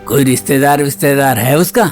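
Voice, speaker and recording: A male actor voices a scheming small-town sidekick. His mid-pitched voice is cocky, coarse and colloquial. Faint music plays behind the drama. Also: a little fast, lightly acted. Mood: cynical, practical. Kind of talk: Hindi audio drama acting. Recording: music bed under the voice.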